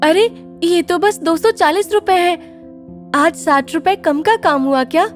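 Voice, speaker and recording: Devout, supportive young wife, voiced by a female narrator: high, expressive, earnest, light music underneath. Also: unhurried. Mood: concerned.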